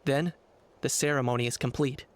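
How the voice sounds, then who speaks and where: A man voicing a cool, composed young warrior guide in a video game, measured and matter-of-fact. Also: medium pitch, fairly even intonation, a little hiss or hum, natural voice. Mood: calm, instructive. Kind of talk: video game character acting.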